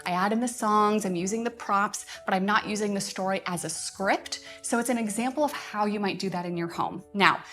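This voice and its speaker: A woman with a mid-pitched voice hosts a casual livestream update. She sounds chatty and enthusiastic as she shares announcements, with music faintly behind her. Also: a little fast, hardly any pauses, a bit bright. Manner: chatty, enthusiastic, often chuckling. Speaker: host of a curriculum update livestream.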